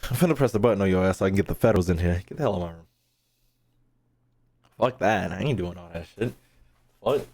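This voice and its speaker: A man's voice: low voice, expressive, fast talker, pauses often, a little mellow. A young man giving casual livestream game commentary, slangy and profane, with playful rants. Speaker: game streamer.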